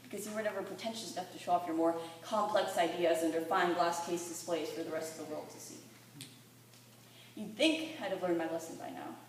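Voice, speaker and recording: A woman's voice: lowish voice, fairly quick. A teenage girl performing spoken-word poetry with earnest, dramatic, rhythmic delivery. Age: teens, fifteen or sixteen. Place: library room with a live audience. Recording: very echoey room, distant mic, faint noise.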